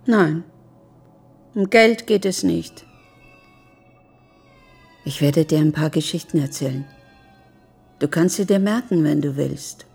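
A woman playing a calm, controlling, quietly menacing captor in a German radio drama, measured and unyielding. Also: low voice, gravelly, slightly rough, soft background music, exaggerated voice.

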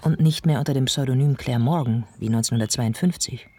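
An actor narrating a radio drama about a writer's life, in a measured, precise and observant way. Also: male voice, medium pitch, darkish tone, lightly acted. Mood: composed, factual.

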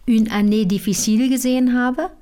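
A woman's voice: on the high side, brisk. Rambling, chatty woman on a call. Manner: lively, rambling, digressive. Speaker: comedian and co-host. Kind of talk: casual phone chat between friends.

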